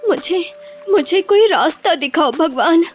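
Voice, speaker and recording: A woman voicing a devoted, sensible young village wife in an animated moral story, with a caring, expressive and dramatic manner. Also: very high-pitched, unhurried, soft background music. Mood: distressed pleading.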